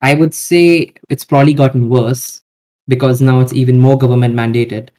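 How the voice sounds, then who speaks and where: Mellow-voiced man, hesitant guest. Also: medium pitch, steady tone, normal speed. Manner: hesitant, hedging. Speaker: podcast guest. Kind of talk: casual interview answers.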